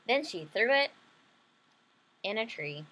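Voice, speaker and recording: Woman reading as a put-upon young critter big brother, lively pitch. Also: slow pace, a little hiss or hum, heavily acted.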